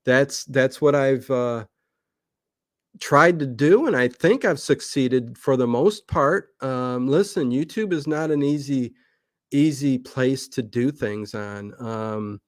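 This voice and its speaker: A man's voice: medium pitch, slow pace, no room sound, studio quality. A man talking casually as a guest on a livestream interview, rambling and restarting his sentences. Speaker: guest, online old-time radio channel creator.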